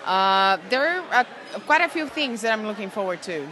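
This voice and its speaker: A woman from Brazil, answering interview questions enthusiastically at a teaching conference and pausing often on "uh". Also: mid-range voice, animated, sharp tone, some chatter behind, low-quality recording. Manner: enthusiastic, with frequent "uh" pauses. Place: busy conference venue.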